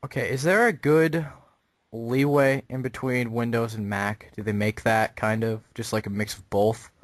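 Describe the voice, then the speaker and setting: Male caller, mid-range voice, deadpan naive questioning, dry recording with no room sound. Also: medium pitch, normal speed, dry recording. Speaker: prank caller posing as a customer. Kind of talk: phone call questioning.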